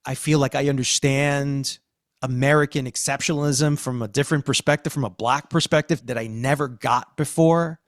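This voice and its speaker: A man's voice: mid-range voice, fairly even intonation, normal speed, dry recording, studio quality. A man hosting a podcast discussion as a cultural critic, speaking in an effusive, emphatic way with repeated phrasing.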